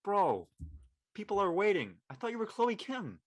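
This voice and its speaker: A woman's voice: medium pitch, very animated, moderate pace. A woman playing a cocky, teasing young college bro in an audio drama, brash and slangy. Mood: needling. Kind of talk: acted audio drama dialogue.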